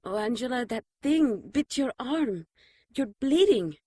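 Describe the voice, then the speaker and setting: A woman's voice: a little high, lively intonation, measured pace, mellow, breathy edge, no room sound, clean studio sound. A woman playing a caring, earnest nun in an audio drama, soothing and insistent. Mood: soothing concern. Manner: soothing, earnest, exasperated at times.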